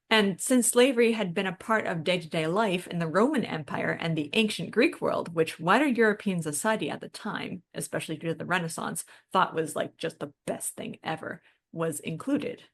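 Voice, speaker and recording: A woman's voice: fairly low pitch, a bit bright. A woman co-hosting a podcast, explaining things in a casual, informal, discussion style with plenty of colloquial filler words. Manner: informal, peppered with "like" and "you know". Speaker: podcast co-host.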